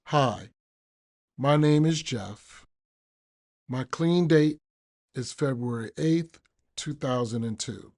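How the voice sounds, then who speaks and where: Slow-spoken man reading, mellow voice. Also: mid-range voice. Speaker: podcast reader, recovering addict. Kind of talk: scripted devotional reading.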